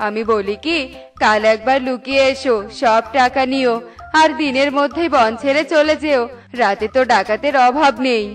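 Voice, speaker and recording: Casting: greedy bully crow for a cartoon, high-pitched, sly and taunting, played by a woman; light music behind. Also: moderate pace, close to an everyday voice. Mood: wheedling. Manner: wheedling, taunting. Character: scheming, greedy male crow, smooth-talking bully. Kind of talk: cartoon character voice acting.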